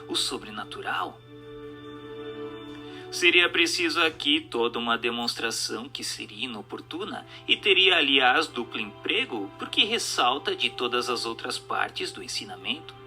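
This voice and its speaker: A man's voice: mid-range voice, big pitch swings, normal speed, bright, forceful tone. A man reads a formal text as dramatic narration, in an emphatic, rhetorical, oratorical manner. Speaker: narrator reading a book aloud. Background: music.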